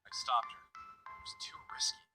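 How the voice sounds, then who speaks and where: An actor playing a steady, practical police detective with a direct, authoritative manner in a visual novel game. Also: male voice, moderate pace, music in the background, natural voice. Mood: grave, practical. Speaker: detective character.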